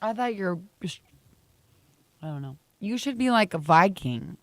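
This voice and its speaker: A young woman co-hosting a morning radio show, slightly raspy, playful and teasing in quick banter. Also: mid-range voice, expressive, a bit bright. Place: radio studio. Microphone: studio microphone.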